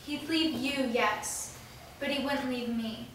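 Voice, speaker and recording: A woman's voice: sits high, steady tone, slow pace, fairly bright tone. A woman playing an earnest, principled young woman in a staged reading of a drama. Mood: urgent, pleading. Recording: echoey room, distant mic, faint noise.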